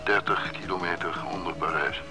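Actor as low-voiced solemn policeman. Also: fairly even intonation, music in the background, lightly acted. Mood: grave, formal. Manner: official, measured. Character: solemn, formal policeman on the telephone. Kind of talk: radio drama acting.

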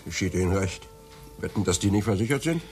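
Radio drama: a gruff, cutting friend with a very low voice. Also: male voice, lively intonation, quick pace, darkish tone, soft background music. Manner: blunt, grumbling. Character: gruff, blunt pub friend.

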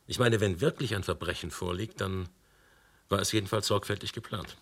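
An actor playing a brusque, sardonic middle-aged police commissioner in a German radio play, speaking in a commanding, deliberate way. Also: male voice, low voice, fairly bright tone, slightly rough, full character voice. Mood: dry, weary. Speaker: police commissioner questioning witnesses.

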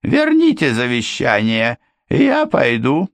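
A man voicing a frightened middle-aged housekeeper in a high, strained, tearful voice. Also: huge pitch swings, takes it slowly, no room sound, heavily acted. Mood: desperate. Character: anxious middle-aged female housekeeper, shrill, pleading. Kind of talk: audiobook character voice.

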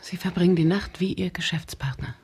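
An actress playing an intimate, probing nighttime companion in a German radio crime comedy, soft and confiding, with music behind her. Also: fairly low pitch, fairly quick. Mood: intimate, curious. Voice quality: husky, breathy, creaky. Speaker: actor.

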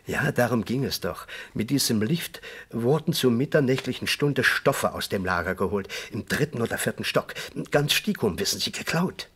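A man's voice: medium pitch, animated, moderate pace, hardly any pauses, a bit bright, husky. An actor playing a good-natured, talkative man reminiscing in conversation in a radio crime drama. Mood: puzzled recalling. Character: chatty, good-natured male host reminiscing about an old regular.

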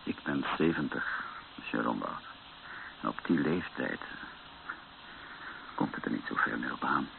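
An actor playing a calm, resigned priest of seventy in a radio drama, speaking quietly and slowly. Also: male voice, a bass voice, creaky, full character voice. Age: 70s. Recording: muffled lo-fi radio recording with hiss.

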